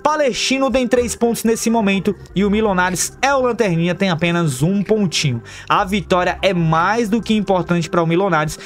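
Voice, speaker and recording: Man, Brazilian accent, high-pitched, chummy betting tipster, faint music underneath. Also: moderate pace, few pauses. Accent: Brazilian Portuguese. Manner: upbeat, chummy, calls viewers "rapaziada" and "família". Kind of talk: scripted tips over screen recording. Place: voice-over for a screen recording.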